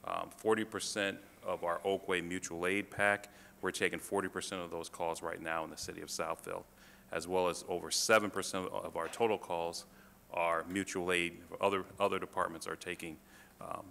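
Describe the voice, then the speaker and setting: Low flat gravelly guy giving a fire dept report at a council meeting, lots of ums, echoey chamber. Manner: halting, many fillers and restarts. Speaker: fire department official presenting to council. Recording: reverberant chamber, faint room noise.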